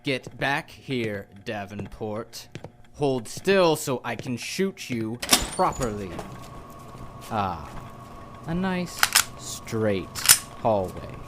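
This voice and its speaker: A man's voice: medium pitch, big pitch swings, extremely slow. A man playing a psychotic, taunting lawman with a gun in an audio drama, drawling and menacing. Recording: very noisy background with gunfire.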